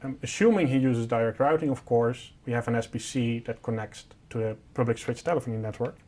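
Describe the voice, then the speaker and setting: A man's voice: medium pitch, a little fast, mellow. Man explaining a technical solution informally. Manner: informal, step-by-step, simplifying. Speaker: product presenter.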